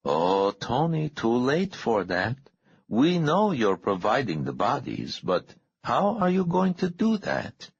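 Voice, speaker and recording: Man playing a soft-spoken, menacing masked interrogator, dull recording. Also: medium pitch, wildly swooping pitch, vocal fry, slightly rough, voice pushed up, heavily acted. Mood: calm menace. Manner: soft-spoken, unhurried, falsely courteous. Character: calm, menacing balaclava-masked male interrogator with irritating accent. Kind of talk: audiobook character dialogue.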